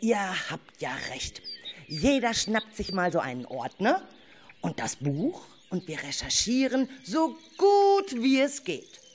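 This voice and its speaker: A woman plays a caring, cautious forest guide for schoolchildren in a radio play. Her delivery is unhurried and very lively in pitch, with deliberate, expressive storytelling. There is faint noise with chirping nature sounds behind her. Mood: thoughtful. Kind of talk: children's radio play acting.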